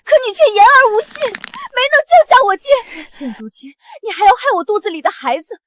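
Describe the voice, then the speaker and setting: Soft plaintive young wife. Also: female voice, extremely high pitch, very animated, brisk, slight background noise, exaggerated voice. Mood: indignant. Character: wronged pregnant young wife, meek and hurt.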